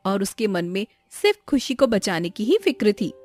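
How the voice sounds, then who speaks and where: A woman's voice: mid-range voice, animated, moderate pace, darkish tone, soft background music. A woman narrating a scripted story.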